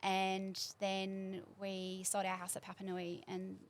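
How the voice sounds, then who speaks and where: A woman in her forties with a New Zealand accent, a guest in a casual studio interview, chatting warmly and rambling through memories. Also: mid-range voice, monotone, slow pace, a little hiss or hum. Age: 40s. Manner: warm, chatty, rambling recollection. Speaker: podcast guest. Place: small podcast studio.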